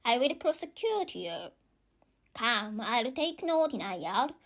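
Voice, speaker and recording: A woman's voice: on the high side, big pitch swings, takes it slowly, fairly bright tone, heavily acted. A woman voicing a cunning, bossy old prosecutor in storybook verse, menacing, with a non-native English accent.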